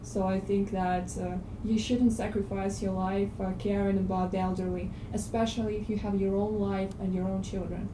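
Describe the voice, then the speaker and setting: A woman's voice: mid-range voice, level tone, normal speed, hardly any pauses. Blunt Russian-accented woman in an echoey hall. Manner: frank, blunt, hesitant fillers. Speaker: discussion club participant. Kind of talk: spoken opinion in a film discussion. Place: cinema auditorium. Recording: echoey hall, distant, noisy.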